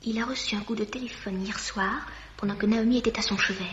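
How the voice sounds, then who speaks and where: An actress playing a persistent young amateur sleuth in a 1950s French radio mystery, with an eager, probing but polite manner. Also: female voice, fairly high pitch, fairly even intonation, fast talker, fairly bright tone, slight background noise, natural voice. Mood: curious, brisk. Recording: dull vintage radio recording.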